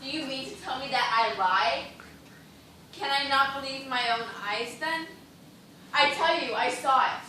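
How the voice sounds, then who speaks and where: A woman's voice: high voice, unhurried, sharp tone. An actress playing a distraught, feverish female dancer castaway in an amateur stage play, with impassioned theatrical delivery. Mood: desperate insistence. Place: theatre stage, recorded from audience. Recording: very echoey stage, distant, noisy.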